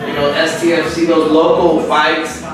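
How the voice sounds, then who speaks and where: A man's voice: on the high side, level tone, normal speed. A man hosting a casual interview, upbeat and filler-prone. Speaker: host, interviewer. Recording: echoey room, far from mic, music behind.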